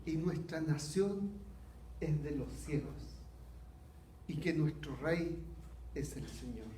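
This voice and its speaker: Chilean man, high-voiced pastor, slow earnest sermon, roomy echo. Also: lively intonation, warm tone, slight background noise. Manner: earnest, unhurried preaching with rhetorical questions. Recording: echoey hall.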